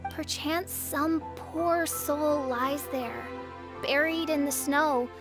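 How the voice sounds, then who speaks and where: A woman's voice: high-pitched, slow, over music. A woman voicing a theatrical young noblewoman in a video game, pompous, florid and archaic in manner. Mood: ominous.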